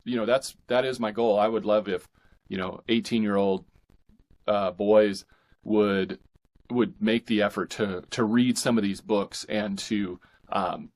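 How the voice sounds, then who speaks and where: Low-voiced man in casual conversation. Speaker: podcast guest, fiction author.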